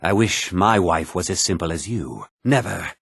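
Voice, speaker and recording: Casting: blunt, haughty fantasy game man; raspy low voice, dry close recording. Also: animated, normal speed, no echo, full character voice. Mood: gruff, blunt. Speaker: video game voice actor. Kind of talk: fantasy game character dialogue.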